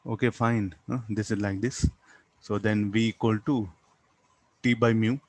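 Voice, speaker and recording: A man with an Indian accent teaching physics, explaining step by step in a halting way, repeating words and tagging on "huh" and "okay". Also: fairly low pitch, steady tone, a little mellow, dry recording. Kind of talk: classroom lesson, explaining a worked problem.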